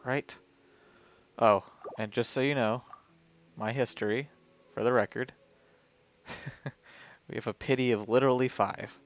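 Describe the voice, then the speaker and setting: A man with an average-pitched voice gives casual commentary on a live game stream. He thinks out loud, half talking to himself as he works things through. Game music plays behind his voice. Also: steady tone, unhurried, long pauses, highs cut off. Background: video game music.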